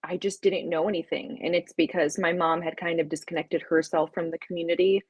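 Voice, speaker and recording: A woman in her thirties shares her upbringing as a podcast guest. Her voice sits low and breathy, and her talk is relaxed and full of fillers. The sound is dry and close, with no room around it. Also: fairly quick, dry recording. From United States and Palestine. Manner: chatty, many fillers and likes. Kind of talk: casual storytelling chat.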